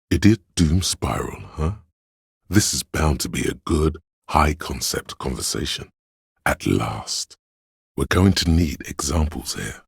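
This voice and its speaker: An extremely low-pitched, gravel-throated voice of a grandiose art critic, speaks with florid, declamatory pomp. It is heavily husky and close, in a very dry studio sound, as theatrical narration inside a video game. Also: male voice, no room sound, exaggerated voice. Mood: wry, critical. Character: pompous inner voice of an aesthete art critic, grandiose.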